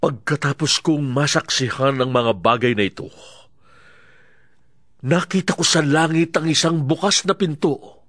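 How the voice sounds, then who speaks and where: A Filipino man playing an awestruck visionary who narrates what he witnessed in a reverent, deliberate way, in a scripture audio drama. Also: on the high side, fairly bright tone, no echo, clean studio sound. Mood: awed. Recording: clear music bed behind voice.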